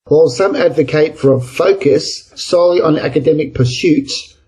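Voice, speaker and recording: A man's voice: on the high side, normal speed, mellow, slightly rough. A man with an Australian accent reading an exam essay as scripted narration. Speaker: essay reader.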